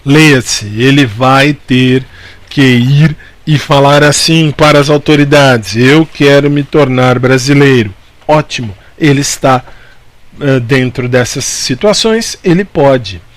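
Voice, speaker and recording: A man's voice: medium pitch, takes it slowly, no room sound. A Brazilian man giving an informal exam-prep law lecture, repeating key points and answering his own questions in a didactic way. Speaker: law teacher.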